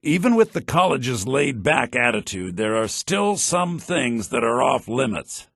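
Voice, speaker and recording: An actor voicing shrewd, wry men of a fantasy video game, such as merchants and mages, in a sly, dry, theatrically expressive manner. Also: male voice, a little slow, vocal fry, breathy edge, dry recording, heavily acted. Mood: dry, measured. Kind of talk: scripted video game character lines. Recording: low-bitrate game audio rip.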